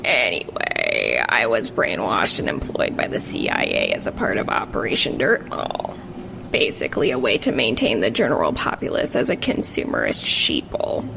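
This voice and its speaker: A very low, creaky young woman played by a female performer, a jaded manic pixie mall worker in a comic monologue. The delivery is ironic and deadpan yet swoops widely in pitch, over faint noise. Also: moderate pace, exaggerated voice. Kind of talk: scripted parody narration.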